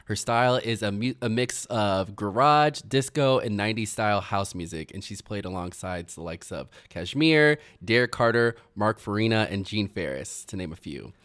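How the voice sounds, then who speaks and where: A young male radio host with a very lively, bouncing pitch keeps the interview casual and upbeat. He laughs often and fills gaps with "um" and "like". He talks from a radio studio. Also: normal speed, hardly any pauses.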